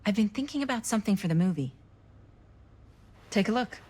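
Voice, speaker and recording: A woman playing an earnest, teasing young amateur screenwriter in a horror game, with a husky voice. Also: mid-range voice, animated, a little fast, darkish tone. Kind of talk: naturalistic horror game dialogue.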